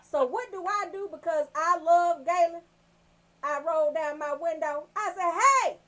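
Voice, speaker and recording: A woman's voice: extremely high pitch, normal speed, fairly bright tone. A woman telling comic stories as a radio show host, brash and freely swearing.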